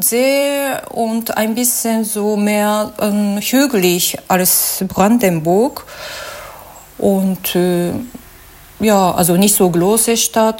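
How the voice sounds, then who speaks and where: Slow, halting woman with Japanese accent. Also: medium pitch, a little mellow. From Suwa, Nagano, Japan. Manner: halting, searching for words. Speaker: interview guest, glass artist. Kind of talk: informal interview account.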